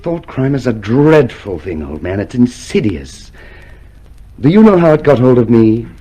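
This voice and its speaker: An actor playing a meek, eager, deluded party loyalist under arrest, nervous and chummy, in a radio drama. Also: male voice, medium pitch, big pitch swings, normal speed, dark tone, slightly rough. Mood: nervous eagerness.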